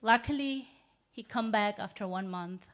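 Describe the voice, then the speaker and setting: Burmese-accented woman, 30s, measured and earnest speaker telling her story, mid pitch. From Myanmar. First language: Rohingya. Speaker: invited speaker. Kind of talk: personal testimony speech.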